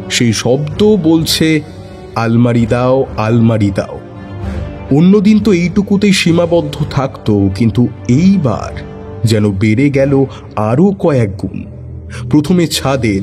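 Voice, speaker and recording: A man narrating a detective story with expressive, suspenseful delivery. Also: medium pitch.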